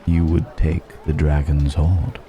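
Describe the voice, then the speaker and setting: A man playing a suave, probing dragon posing as a curious stranger, musing and gently mocking in an intimate ASMR roleplay. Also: rumbling low, flat delivery, a little hiss or hum, exaggerated voice. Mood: musing, challenging. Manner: deliberate, musing, gently mocking, long pauses. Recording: background music and crowd murmur.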